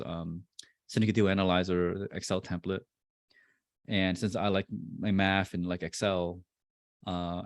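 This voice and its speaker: A man in his forties with a low, deep voice answers an interviewer's questions about his career. He talks in a halting way, full of fillers and restarts. The sound is dry and close. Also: no room sound. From born in Vietnam, raised in New Jersey. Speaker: guest, real estate investor.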